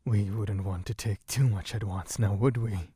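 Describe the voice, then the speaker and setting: A man voicing a suave, possessive, bloodthirsty vampire in a low, husky, teasing voice for an ASMR roleplay. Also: fairly even intonation, mellow, no room sound, clean studio sound. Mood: hungry, indulgent. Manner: slow, teasing, theatrically genteel, purring endearments.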